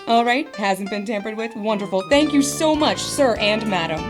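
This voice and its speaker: A woman's voice: medium pitch, moderate pace, close to an everyday voice. Woman acting a theatrical magician's wife over music. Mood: polished, welcoming. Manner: formal, dramatic address to an audience. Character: Bess Houdini, magician's wife and theatrical stage announcer. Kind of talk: audio drama acting, stage showmanship.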